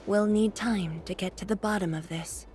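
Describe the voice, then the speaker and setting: An actress voicing a solemn, weary woman of authority in a video game, grave and deliberate, hushed at times. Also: mid-range voice, steady tone, soft background music, natural voice. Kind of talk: dramatic video game voice acting.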